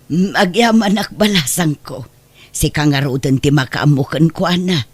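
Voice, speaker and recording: Woman as middle-aged godmother, protective, concerned, expressive, radio drama, dry recording. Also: low voice, normal speed, husky, no echo. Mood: reassuring, pleading. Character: protective, sympathetic godmother sheltering a runaway. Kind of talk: Ilocano radio drama acting.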